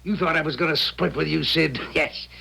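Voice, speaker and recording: An actor playing a crude, ruthless, greedy thief with a gravelly voice and folksy tough-guy talk in a 1970s radio drama. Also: on the high side, fairly even intonation, moderate pace, bright, forceful tone, full character voice. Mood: coldly threatening. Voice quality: husky, gravelly.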